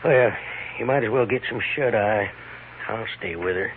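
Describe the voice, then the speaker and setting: A gruff country doctor of the Old West acted by a man, low in pitch and testy in manner. The recording is a muffled radio drama with plenty of noise. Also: normal speed, close to an everyday voice. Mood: curt, weary. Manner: brusque, testy, matter-of-fact. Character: gruff, curt frontier town doctor. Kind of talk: 1950s radio western acting.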